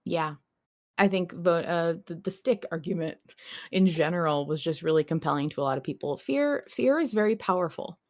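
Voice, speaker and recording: A woman's voice: on the low side, animated, normal speed, a little mellow. A woman podcast host chatting casually and reading out listener messages.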